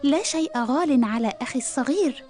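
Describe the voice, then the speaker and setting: A clever, caring older sister in a children's cartoon. Her voice is high and mellow, gentle yet determined and persuasive, over faint music. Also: animated, brisk. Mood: affectionate. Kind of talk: cartoon dialogue in formal Arabic.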